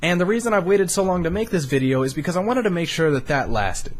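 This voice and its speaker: A man's voice: a little high, sing-song, fast. A young man of eighteen, a YouTuber, talking earnestly and rambling a bit on a studio microphone, swearing casually and sounding emotionally raw. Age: young adult. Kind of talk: candid personal vlog.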